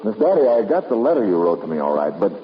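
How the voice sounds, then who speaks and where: An actor playing a brisk, skeptical private investigator in a radio drama, with a direct, questioning manner. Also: male voice, fairly low pitch, very animated. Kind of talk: 1950s radio drama acting. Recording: dull, noisy 1950s radio recording.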